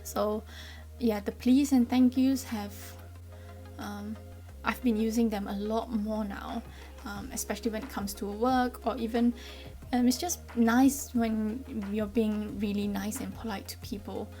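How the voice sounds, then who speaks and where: Young Malaysian woman vlogger, brightish voice, chatty, lots of ums, soft music under her. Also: fairly high pitch, steady tone, a little slow. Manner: chatty, friendly, frequent ums. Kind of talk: casual explainer vlog.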